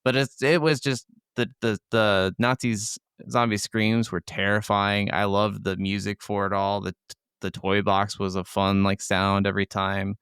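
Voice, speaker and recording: Man, lowish pitch, clean resonant voice, dry close sound, enthusiastic, casual, sweary, gaming podcast co-host. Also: little pitch movement, measured pace, fairly bright tone, clean tone, dry recording. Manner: informal, enthusiastic, swears freely.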